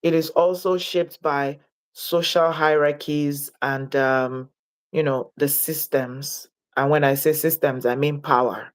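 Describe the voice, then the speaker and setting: A woman from Nigeria, speaking as a guest in an interview, reflective and deliberate in her delivery. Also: low voice, fairly even intonation. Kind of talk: interview conversation.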